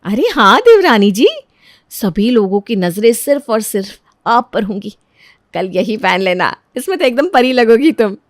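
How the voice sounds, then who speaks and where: A woman's voice: a little high, sing-song, normal speed, long pauses, dry recording, clean studio sound. A woman voicing a scheming, jealous young sister-in-law in a melodramatic story, two-faced and falsely sweet.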